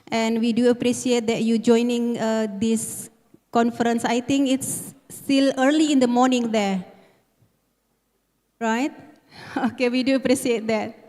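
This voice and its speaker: A woman moderating a conference session, polite and halting with fillers. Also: on the high side, darkish tone. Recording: reverberant conference hall.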